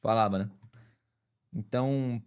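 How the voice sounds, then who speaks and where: A man's voice: on the low side, measured pace, darkish tone, smooth. A man from Brazil, a streamer, chatting casually and reacting to a video with lots of slang.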